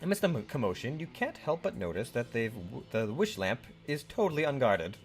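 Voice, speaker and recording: A young man chats his way through a game with friends, his voice bouncing lively up and down. He rambles and sounds unsure of himself, and he reads the on-screen story text aloud. Faint music plays underneath. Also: mid-range voice, a little fast. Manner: rambling, unsure, laughs a lot. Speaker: let's play host. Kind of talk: casual game commentary and reading game text aloud.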